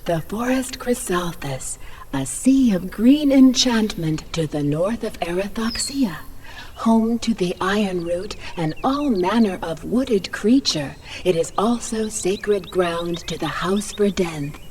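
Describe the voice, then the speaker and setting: A woman playing a solemn, mystical narrator in a fantasy video game, telling of the realm in a grand, measured storyteller delivery. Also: medium pitch, expressive, noisy background. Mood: reverent, wistful. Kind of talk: dramatic scripted fantasy narration.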